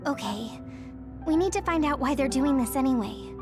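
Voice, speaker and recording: A caring young soldier in an anime-style game. Her voice is high and earnest, that of a protective friend. Faint music sits underneath. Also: animated, moderate pace. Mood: focused. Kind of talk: anime-style video game cutscene acting.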